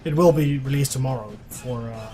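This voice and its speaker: Male game streamer over soft music. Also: mid-range voice, normal speed. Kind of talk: casual live gameplay commentary. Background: background music.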